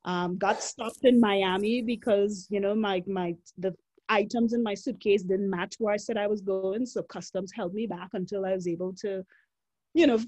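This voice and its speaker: A woman's voice: mid-range voice, fairly even intonation, normal speed, a little mellow, rough recording. Laughing Trinidadian woman telling her story. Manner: reflective, laughs often, many fillers. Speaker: guest. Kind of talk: casual interview storytelling.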